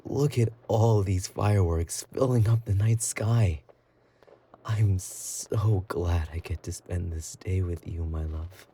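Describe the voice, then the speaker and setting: A man playing an obsessive, possessive boyfriend in a romantic roleplay audio drama, doting yet menacing, with a breathy, intimate, cooing delivery. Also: deep voice, slow pace, mellow, slight background noise. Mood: adoring, tender.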